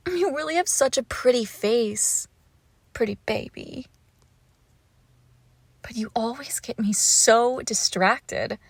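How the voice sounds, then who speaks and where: A woman playing a lovesick, possessive witch in an intimate ASMR roleplay, coaxing and teasing her captive, slipping between soft speech and slow whispers. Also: a little high, creaky, dry recording, full character voice.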